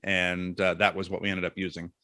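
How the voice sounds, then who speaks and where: A middle-aged man chatting casually as an interview guest, telling jokey anecdotes in an animated way. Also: sits low, brisk, no echo, clean studio sound.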